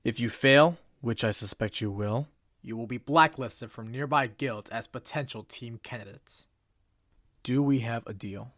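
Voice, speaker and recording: A man's voice: mid-range voice, measured pace, fairly bright tone, no room sound, lightly acted. A man voicing a strict, proud male bird guild assistant in a fan comic dub, formal and lecturing. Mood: stern.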